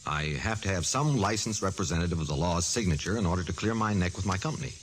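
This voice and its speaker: An actor playing an earnest, businesslike insurance adjuster in a 1940s radio drama, speaking in a deliberate, insistent way. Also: male voice, sits low, expressive. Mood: subdued, persuasive. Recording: noisy vintage 1940s radio recording.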